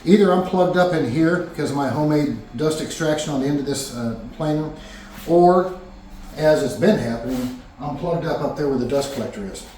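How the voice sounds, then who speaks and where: A man's voice: on the high side, fairly quick, dark tone, distant mic, a little hiss or hum. A man narrating his woodworking project in a casual, rambling way, with frequent fillers and restarts, recorded in his home workshop. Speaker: woodworking vlogger. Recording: echoey workshop, voice off-mic.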